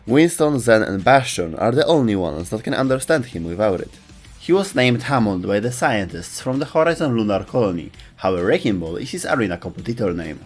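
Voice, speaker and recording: A man hosting and narrating a scripted gaming lore video. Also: fairly low pitch.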